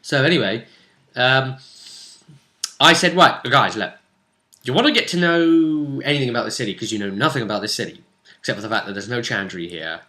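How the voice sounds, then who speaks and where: Bright-voiced man chatting as game master. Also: medium pitch, lively intonation, brisk, long pauses. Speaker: game master and vidcast host. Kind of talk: casual recap chat about a tabletop game.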